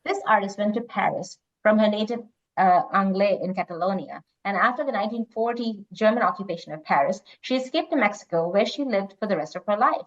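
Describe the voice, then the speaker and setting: A woman presents a quiz, moving between read-out questions and casual banter. Her pitch is average, and the recording is dull and lo-fi. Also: fairly quick. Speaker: quiz host reading questions. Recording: dull lo-fi online call audio.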